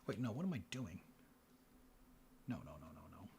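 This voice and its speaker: A man hosting a board game in ASMR style, mixing soft speech and whispers as he murmurs an unhurried play-by-play. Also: fairly low pitch, very animated. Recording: clear background noise. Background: room ambience, board game handling sounds.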